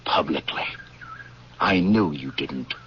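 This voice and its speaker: An actor playing a bitter, vengeful Union Army major haunted by prison camp, intense and brooding, in old-time radio drama style. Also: male voice, a bass voice, takes it slowly. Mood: accusing anger. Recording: muffled, noisy old radio drama tape.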